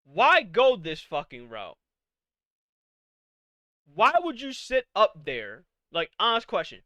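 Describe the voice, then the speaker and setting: High, bright-voiced exasperated young man. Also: wildly swooping pitch, slow, no echo. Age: 20s. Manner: exasperated, profane, rhetorical questions. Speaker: podcast host. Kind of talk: live-streamed casual rant.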